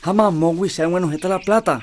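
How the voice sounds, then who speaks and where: A man's voice: high-pitched, a little fast, slight background noise, close to an everyday voice. A man with a Paraguayan accent playing a casual, skeptical football player in an educational radio drama. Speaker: Roberto, a football team player.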